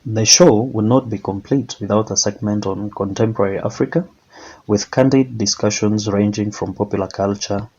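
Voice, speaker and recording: Male, lowish voice, eloquent and measured, reading a formal answer, lo-fi sound. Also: a little mellow, breathy edge. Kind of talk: prepared monologue answer, formal.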